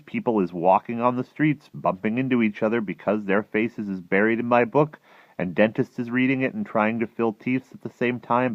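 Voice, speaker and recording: Boastful young storybook boy, voiced by a man: excitable, breathless, mangled grammar, lifted pitch. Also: dry recording, highs cut off, close to an everyday voice. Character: excitable boastful young boy recounting his fantastic dreams.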